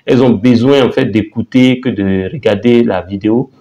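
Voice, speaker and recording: Casting: male teacher, mid-pitched voice, casual lesson, repeats himself, slows down for examples. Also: warm tone, muffled recording. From Côte d'Ivoire. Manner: halting, repeats words, spells out phrases slowly. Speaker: language teacher.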